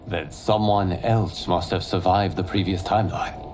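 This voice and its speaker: An actor playing a calm, commanding male protector god in a game cutscene. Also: low voice, slight background noise, lightly acted. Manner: measured, commanding. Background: orchestral game music.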